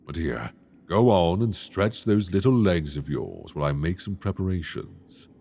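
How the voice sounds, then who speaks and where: A man voicing a possessive, teasing dragon king in human form in an ASMR audio roleplay, speaking slowly and intimately into a binaural mic. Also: expressive. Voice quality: deep, gravelly. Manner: slow, intimate, teasing, soft-spoken.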